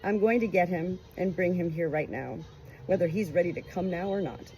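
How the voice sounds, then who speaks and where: A woman reads as a strained husband in an audiobook whose wife is dying. He is blunt and insistent, and there is clear noise in the recording. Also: lowish voice, moderate pace, darkish tone, close to an everyday voice, rough recording. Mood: firm, weary.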